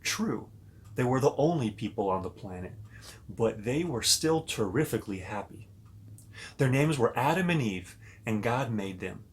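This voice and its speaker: A man reading a children's story aloud as a pastor, pausing to talk directly and expressively to young listeners. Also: mid-range voice, animated.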